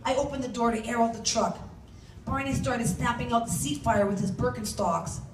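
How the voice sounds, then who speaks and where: High-voiced woman reading aloud, roomy sound. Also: normal speed. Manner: animated, acts out shouted dialogue. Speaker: author reading from their own novel. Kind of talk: dramatic first-person story reading. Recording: roomy, distant mic, faint background noise.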